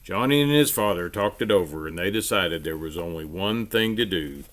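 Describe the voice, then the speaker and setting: A man reading a children's storybook aloud in an expressive, emphatic storytelling manner. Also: low voice, moderate pace.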